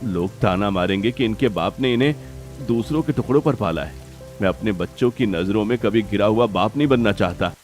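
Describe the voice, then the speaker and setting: A proud village father, played by a man, speaks in a low, firm voice. He is earnest and dignified, a stubborn elder brother in a cartoon melodrama. Music runs softly underneath. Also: fairly quick, lightly acted. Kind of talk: Hindi cartoon family melodrama.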